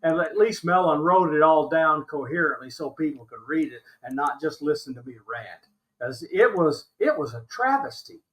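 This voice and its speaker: A man's voice: a little high, lively intonation, normal speed, a bit bright, poor audio. An American man in his sixties giving impassioned interview commentary as a podcast guest, emphatic and exasperated, repeating himself to drive points home. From Alabama, United States. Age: 60s.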